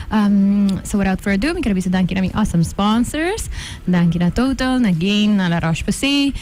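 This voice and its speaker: A woman's voice: medium pitch, a little mellow, slight background noise. Chatty woman radio host. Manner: chatty and upbeat, laughs often, switches languages mid-sentence. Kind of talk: bilingual radio interview.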